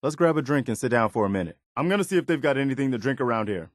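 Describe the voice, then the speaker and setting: An actor playing a principled, loyal and weary young militia soldier in a video game, speaking sincerely and plainly. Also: male voice, medium pitch, expressive, rapid-fire, no echo, studio quality, close to an everyday voice. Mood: easygoing. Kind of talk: video game companion dialogue.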